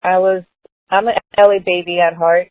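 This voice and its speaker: A woman's voice: fairly low pitch, little pitch movement, normal speed, no room sound. A woman from Los Angeles, a guest who tells her life story in an open, earnest way. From Los Angeles, United States. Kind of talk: casual conversation. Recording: dry, phone-like narrow sound.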